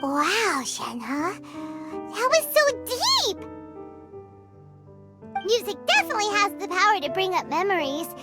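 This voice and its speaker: A squeaky-high, childlike fairy sidekick from a fantasy game. She is perky and cheeky, exclaiming with lively swings in pitch. Prominent music plays behind her. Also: a little slow, long pauses, full character voice. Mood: amazed, curious. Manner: excitable, blunt, exclaiming. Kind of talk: video game character acting.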